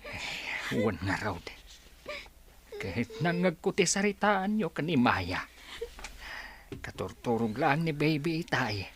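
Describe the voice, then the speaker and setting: A woman's voice: sits low, very animated, unhurried, pauses often. A woman playing a devout, sorrowful old grandparent who consoles the grandchildren with sighs in a radio drama. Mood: gentle, resigned. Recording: faint background noise, clicks.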